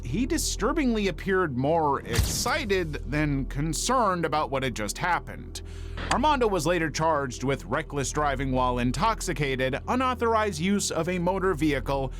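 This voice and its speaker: Guy narrating true crime, voice on the higher side, really animated, music underneath. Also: moderate pace.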